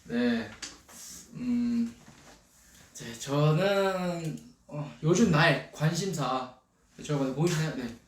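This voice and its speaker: A man's voice: on the high side, animated, slow pace, pauses often, far from the mic. A young man with a regional Korean dialect, chatting playfully and laughing often during a casual livestream. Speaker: idol group member on a livestream. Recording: echoey room, off-mic, faint noise.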